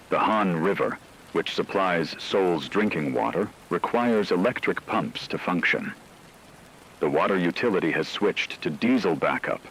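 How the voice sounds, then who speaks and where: A man delivering scripted documentary-style news narration in a measured, formal, grave manner. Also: low-pitched, fairly bright tone, a little hiss or hum, rough recording. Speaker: radio broadcast host.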